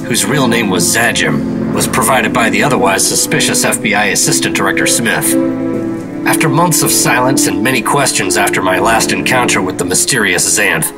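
An actor playing a stern government secret agent in a 1950s-style noir radio drama, with a dry, clipped, official manner. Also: male voice, on the low side, natural voice. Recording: loud music bed behind voice.

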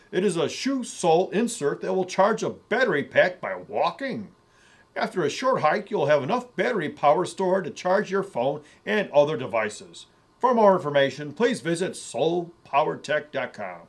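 Man as smug anchorman, husky, swinging pitch, a bit high. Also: heavily acted. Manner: pompous anchorman delivery. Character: pompous mustached male news anchor, adult. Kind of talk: parody news segment. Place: studio in front of a virtual news set.

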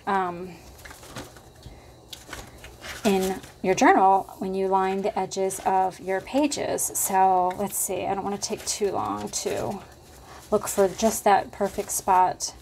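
A woman hosting a casual crafting tutorial at her table, chatty and thinking aloud with lots of fillers, her voice slightly hoarse. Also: mid-range voice, flat delivery, slow pace, darkish tone, slight background noise.

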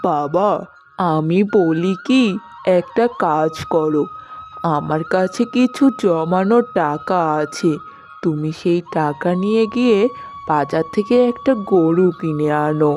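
A woman's voice: fairly low pitch, slow pace, darkish tone. A woman playing a kindly, practical older mother in a cartoon story. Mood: helpful. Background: background music.

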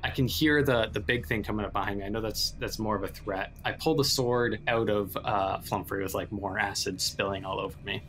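A man playing a tabletop roleplaying game, improvising casually and haltingly as he thinks aloud. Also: fairly low pitch, fairly bright tone, slight background noise, rough recording.

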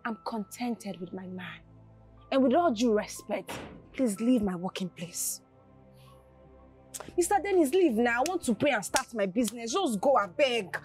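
Actress as exasperated young Nigerian woman, higher-pitched. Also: lively intonation, normal speed, a little mellow, faint music behind, close to an everyday voice. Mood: firm, restrained. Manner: indignant, emphatic, repeats herself. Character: principled, exasperated young shopkeeper pursued by rich suitor. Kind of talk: naturalistic screen drama acting.

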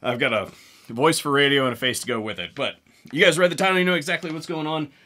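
A man's voice: a little high, fast, pushed and bright. A man in his thirties hosting a gun channel, talking casually to camera with a wry, joking manner. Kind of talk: casual talk to camera with sponsor read. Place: indoor room with guns on wall behind.

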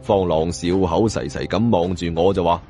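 A man's voice: deep voice, steady tone, normal speed, dark tone, faint music behind. A man with a Hong Kong Cantonese accent narrating a suspense novel as a dramatic audiobook storyteller.

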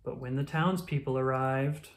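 An expressive storyteller reading a children's picture book aloud. The voice sits at a middle pitch and carries the narration in a storytelling style. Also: normal speed.

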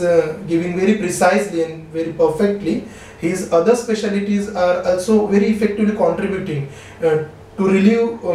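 A middle-aged man with an Indian accent giving a formal, measured testimonial as a doctor, recorded in an office on a distant camera microphone. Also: on the high side, dark tone. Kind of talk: prepared spoken testimonial. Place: small office, seated behind a desk. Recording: very echoey, distant, faint background noise.

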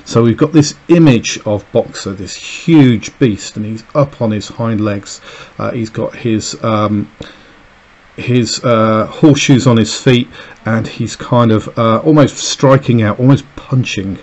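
A man's voice: fairly low pitch, unhurried, darkish tone, dull audio. A man teaching a recorded lesson, explaining an extract with many fillers and "okay"s as he annotates it. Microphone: voice-over over a camera filming a page on a desk.

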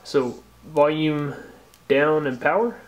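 Higher-pitched American male tech host. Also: takes it slowly. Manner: step-by-step, informal explaining. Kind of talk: casual instructional narration.

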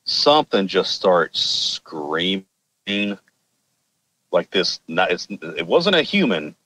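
A man's voice: deep voice, very animated, slow pace, bright, forceful tone, rough recording. A man from Arkansas with an American accent, casually telling a personal story in an informal, rambling, wry way. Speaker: podcast guest telling personal experiences.

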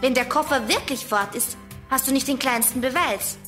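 A woman playing a bold, sharp-witted, slangy girl detective in a children's audio drama. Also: sits high, over music, close to an everyday voice. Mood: sceptical, reasoning. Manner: brisk, decisive, slangy.

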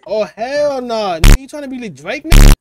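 A man's voice: very high voice, animated, brisk, heavy background noise. A man, chatting and teasing strangers on a video call through a headset, brash and slangy. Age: 20s. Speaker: Omegle video chat host. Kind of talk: casual video chat banter. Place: small dim room with colored lights.

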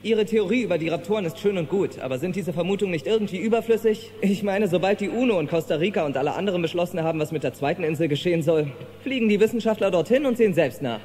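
A sceptical man, talks very quickly in a high voice. His film dialogue rings through a reverberant hall with faint noise. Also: animated, darkish tone. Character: sceptical, challenging male audience member at a lecture.

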